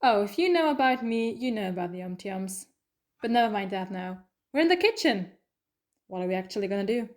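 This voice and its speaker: Clever, coaxing heroine female performer, mid-pitched, conversational and teasing, for an audio drama about an alien traveller. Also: expressive, brisk, natural voice. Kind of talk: fan audio drama acting.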